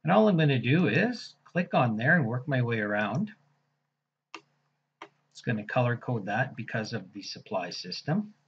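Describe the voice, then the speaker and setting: A man teaching software in a casual screen-recorded tutorial, thinking aloud as he clicks through each step. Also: medium pitch, lively intonation, moderate pace, slightly rough, highs cut off. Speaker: software instructor.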